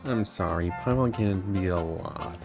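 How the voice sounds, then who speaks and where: A man's voice: low-pitched, measured pace, vocal fry. A man giving casual game commentary in dry, understated asides. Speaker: streamer playing through a game. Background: game music and dialogue.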